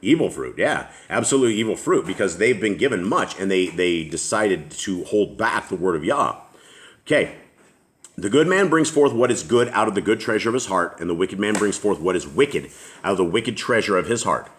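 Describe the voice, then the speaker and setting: A middle-aged man with a deep voice, leading a home Bible study, reading scripture aloud slowly and asking questions. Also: expressive.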